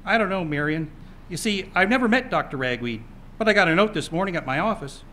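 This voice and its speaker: Man as a breezy, animated small-town reporter. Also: sits high, fast. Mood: casual. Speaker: voice actor. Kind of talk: comic audio drama acting. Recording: noticeable background noise.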